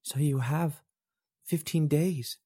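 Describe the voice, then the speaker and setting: Man voicing a high-pitched, gentle storybook healer, soft and eager. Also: slow, breathy edge. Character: attentive, eager male healer.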